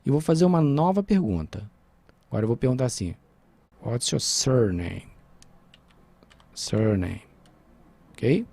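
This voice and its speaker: Brazilian guy teaching coding on a screen recording, mellow husky voice, keys typing in the background. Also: mid-range voice, expressive, a little slow, pauses often, no echo. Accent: Brazilian Portuguese. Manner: informal, checks in with "tá?" and "né?". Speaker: programming teacher.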